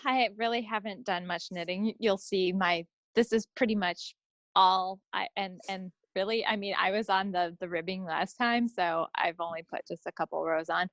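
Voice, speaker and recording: A woman's voice: fairly low pitch, creaky, fairly bright tone, no room sound, dull audio. A woman co-hosting a podcast in a casual, folksy and chatty way. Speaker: podcast co-host. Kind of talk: casual chat.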